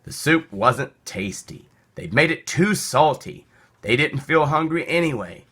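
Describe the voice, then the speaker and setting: A man narrates a children's picture book with a bright, lively voice. He reads slowly and deliberately, with theatrical swings of emphasis for young listeners. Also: on the high side, breathy edge. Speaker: storybook reader. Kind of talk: expressive children's read-aloud narration.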